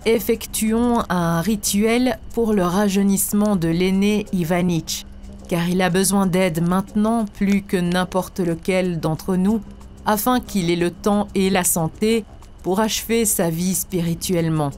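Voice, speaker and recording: A woman voicing a serene, earnest spiritual teacher in an animated story, instructing in a solemn, measured manner. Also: medium pitch, a little hiss or hum, lightly acted. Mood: solemn, instructive. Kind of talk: scripted didactic cartoon dialogue.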